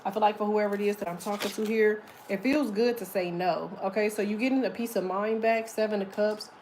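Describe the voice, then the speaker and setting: Woman, deep and a little muffled, casual tarot reader, loose and profane, faint hiss behind. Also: fairly even intonation, a little fast, a little mellow. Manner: chatty, loose, swears casually.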